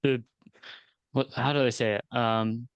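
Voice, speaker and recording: A man hosting a casual sports podcast, talking in a relaxed, easygoing way with some hesitant pauses. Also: medium pitch, clear, resonant tone, no room sound. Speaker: sports show host.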